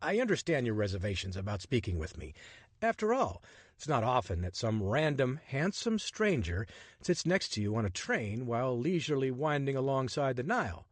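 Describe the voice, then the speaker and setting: A man's voice: medium pitch, very animated, normal speed, no echo, voice pushed up. A man voicing a bold, chatty young adventurer with a breezy, persuasive manner. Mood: charming.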